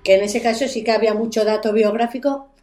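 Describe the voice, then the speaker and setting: Female segment presenter, slightly high register, chatty storyteller for a radio show. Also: steady tone, fast talker, darkish tone, faint music behind. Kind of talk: conversational storytelling.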